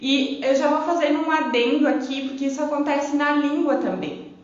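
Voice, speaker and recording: A woman's voice: sits high, normal speed. A woman with a gaúcho accent from southern Brazil, giving an informal, explanatory live-stream lesson as a teacher and often checking in with tag questions. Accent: southern Brazilian, Rio Grande do Sul (gaúcho). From Rio Grande do Sul, southern Brazil. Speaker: belly dance teacher hosting a live lesson. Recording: very echoey room, dull muffled sound.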